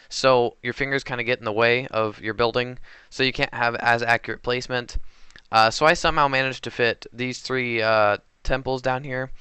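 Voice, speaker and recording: A man's voice: medium pitch, sharp tone, clear, resonant tone, no echo. A man giving casual, hesitant commentary over recorded gameplay, often unsure of himself and correcting his own words. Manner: hesitant and unsure, many fillers and self-corrections.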